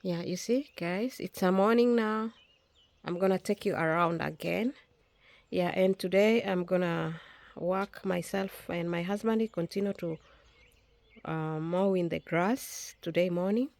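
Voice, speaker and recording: A woman's voice: fairly low pitch, unhurried, vocal fry, a little mellow, dry recording. A woman whose first language is Swahili, chatting casually in a vlog as she films her garden outdoors on a phone, often restarting her phrases. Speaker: vlogger. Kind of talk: casual walk-and-talk vlog.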